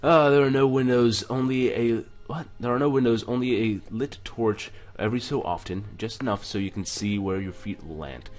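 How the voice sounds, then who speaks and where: A man with a low voice plays through a game as host. He reads the narration aloud and slips into casual, joking commentary, with music playing behind him. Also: darkish tone. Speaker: let's play host.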